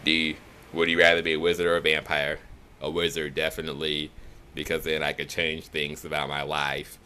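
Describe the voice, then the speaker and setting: A young man from the Chicago area with a Chicago accent, talking in a nasal, monotone way for a casual accent challenge video. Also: a bass voice, fairly quick, slight background noise. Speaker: vlogger.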